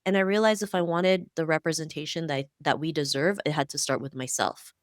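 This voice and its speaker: A woman's voice: low voice, fairly even intonation, a little fast, no echo, clean studio sound. A woman guest in a podcast interview, speaking in an earnest, fluent, motivational way. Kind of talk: conversational interview.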